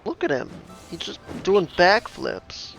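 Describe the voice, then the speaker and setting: A man's voice: high-pitched, very animated, takes it slowly, vocal fry. A man giving casual playthrough commentary on a game, in offhand, brief interjections. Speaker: gamer reacting to the story. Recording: dull lo-fi mic, game music behind.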